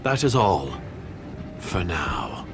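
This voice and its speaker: An actor playing a cold, suspicious secret agent in a video game, speaking in a measured, formal manner. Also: male voice, sits low, very animated, music in the background, exaggerated voice. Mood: cautious, secretive. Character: suspicious, secretive diplomatic schemer, cold and disdainful man.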